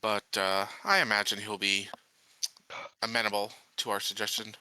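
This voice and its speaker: A man playing a tabletop roleplaying game, improvising in character in a measured, halting way. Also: lowish voice, flat delivery, bright, forceful tone, slight background noise, low-quality recording.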